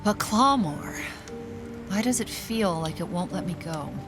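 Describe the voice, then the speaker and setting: A passionate noblewoman in a period audio drama, with a creaky edge and expressive pitch. Prominent music plays behind her yearning, poetic delivery. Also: unhurried. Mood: tender, yearning. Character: passionate, despairing noblewoman feeling caged by her estate.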